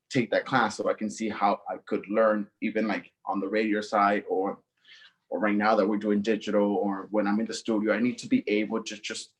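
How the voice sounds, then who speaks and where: A young man, answering interview questions in an upbeat, excited way and talking fast. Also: lowish voice. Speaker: student news senior.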